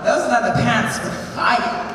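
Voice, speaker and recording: Female co-host, English accent, high voice, mock-stern banter, distant noisy hall. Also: unhurried. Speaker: awards show co-host. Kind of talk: stage banter. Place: large indoor awards stage. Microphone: handheld microphone. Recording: echoey hall PA, noisy loud crowd.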